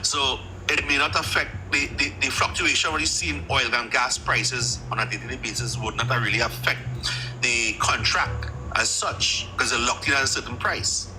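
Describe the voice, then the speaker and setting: A man's voice: mid-range voice, normal speed, sharp tone, faint music behind, poor audio. A man who calls in to a live chat and explains trade step by step, with many restarts. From Trinidad. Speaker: caller joining the live chat.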